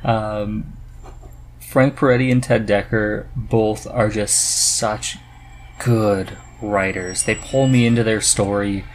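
A man's voice: lowish voice, fairly even intonation, takes it slowly, slight background noise. Man chatting casually, searching for words. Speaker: podcast host. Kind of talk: casual chat.

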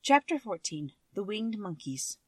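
Animated woman reading a children's story. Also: mid-range voice, a little slow, loud music behind the voice. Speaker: audiobook narrator.

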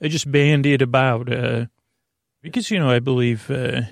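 A man hosting a bedtime podcast with a creaky voice, meandering hesitantly through a soothing monologue and often losing his thread. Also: mid-range voice, fairly even intonation, normal speed, no echo, clean studio sound. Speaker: host of a bedtime story podcast to help listeners sleep.

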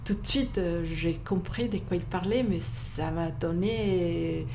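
A woman's voice: fairly low pitch, slow, creaky, noisy background. A woman bookseller, not a native French speaker, talking about books in an interview, hesitant with many fillers and restarts. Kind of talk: interview conversation.

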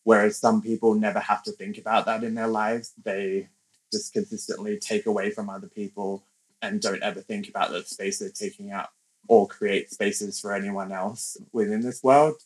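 A man's voice: on the low side, level tone, moderate pace, rough recording. A young man from Australia, a podcast guest speaking reflectively in casual interview talk with many fillers.

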